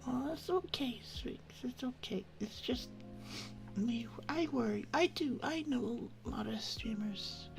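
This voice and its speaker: A woman hosting an ASMR live stream, chatting casually and affectionately to viewers in a soft, breathy voice that often drops into a whisper. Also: on the high side, lively intonation, a little slow, soft background music, poor audio. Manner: affectionate, intimate, uses pet names.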